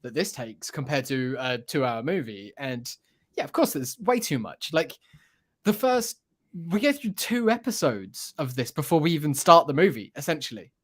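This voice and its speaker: A man's voice: on the high side, animated, normal speed. A man talking casually as a podcast panelist, with an enthusiastic, rambling way of discussing what he has been listening to. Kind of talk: casual chat about a radio drama.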